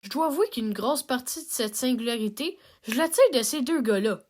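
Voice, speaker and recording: A woman's voice: fairly high pitch, animated, normal speed, darkish tone. A young woman with a Québécois accent, an amateur animator talking casually about her cartoon with many fillers and self-deprecating asides. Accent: Québécois French. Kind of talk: casual self-narration and outro.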